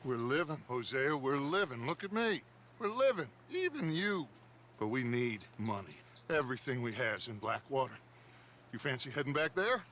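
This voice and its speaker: An actor playing a charismatic middle-aged outlaw gang leader in a video game, speaking in a grandiose, persuasive way. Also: male voice, medium pitch, very animated, moderate pace, a bit bright, a little hiss or hum. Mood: insistent. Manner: grandiose, persuasive, theatrical speechmaking. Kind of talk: video game cutscene dialogue. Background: game soundtrack music.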